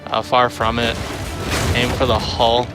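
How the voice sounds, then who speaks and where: A man's voice: mid-range voice, completely flat delivery, a little slow. A man giving casual livestream commentary on a game, rambling and thinking aloud as he plays. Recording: music behind the voice. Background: game music.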